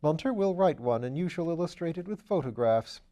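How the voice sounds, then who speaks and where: Brief: man voicing a raised, warm-toned posh amateur sleuth, brisk and chatty, storybook reading. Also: fairly high pitch, lively intonation, voice pushed up, lightly acted. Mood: breezy. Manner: chatty, upper-class slang, brisk and eager. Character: breezy aristocratic English gentleman sleuth, man. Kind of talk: audiobook character voice.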